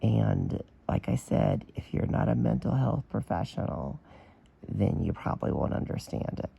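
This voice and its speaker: Hoarse, gravelly man with a strained voice. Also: on the low side, sing-song, moderate pace, dark tone, muffled recording. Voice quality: damaged, strained voice. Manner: blunt and opinionated, with fillers. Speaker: vlogger. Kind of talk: casual commentary to camera.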